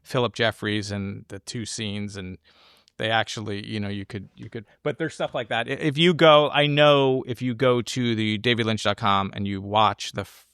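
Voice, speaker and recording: Man talking film, halting and close-miked dry. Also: fairly low pitch, fairly even intonation, a bit bright, clear, resonant tone, no room sound. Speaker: podcast co-host. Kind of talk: casual film discussion.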